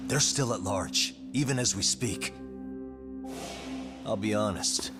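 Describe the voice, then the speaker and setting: An actor playing a cool, persistent private detective in an English-dubbed game drama, questioning in a deliberate way. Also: male voice, lowish voice, loud music behind the voice. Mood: pressing, insistent.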